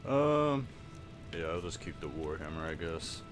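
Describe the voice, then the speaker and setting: Deep-voiced man casually narrating gameplay. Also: sing-song, unhurried, over loud music. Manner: laid-back, thinking aloud. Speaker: gaming video host. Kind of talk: casual game playthrough commentary.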